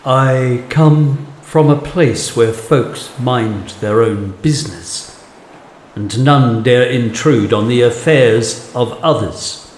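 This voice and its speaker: A man playing a mysterious weathered stranger in a ghostly radio play, slow and guarded. His voice echoes, over faint background noise. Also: mid-range voice, natural voice. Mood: guarded, probing. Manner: deliberate, guarded. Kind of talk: audio drama dialogue.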